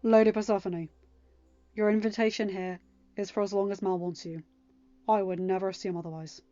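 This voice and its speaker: A woman's voice: medium pitch, steady tone, fairly quick, warm tone, no echo, faint music behind, natural voice. Woman acting a young male king, courteous, decisive, formal, storybook dialogue, dry and slightly dull recording. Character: kind but firm young king, male.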